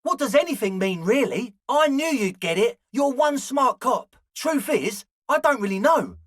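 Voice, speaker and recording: A man's voice: extremely high pitch, expressive, normal speed, no echo, studio quality. A man voicing an eager, flaky young male slacker ringleader in a video game, talking in an earnest, ingratiating, hedging way. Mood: eager, flattering. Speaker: voice actor. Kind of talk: video game character dialogue.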